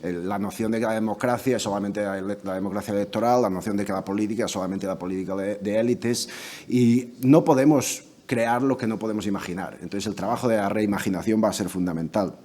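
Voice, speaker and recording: A man's voice: on the low side, steady tone, fast, mellow. Hesitant man speaking at a debate. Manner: thoughtful, many restarts and hesitations. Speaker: debate panelist. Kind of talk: spoken debate contribution.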